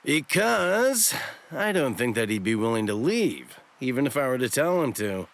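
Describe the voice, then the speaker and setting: Sly, animated shopkeeper. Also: male voice, medium pitch, moderate pace. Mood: matter-of-fact. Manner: theatrical, playful, glib. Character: sly, breezy shopkeeper hiding great power, cheekily self-flattering. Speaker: video game voice actor. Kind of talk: anime game dub acting.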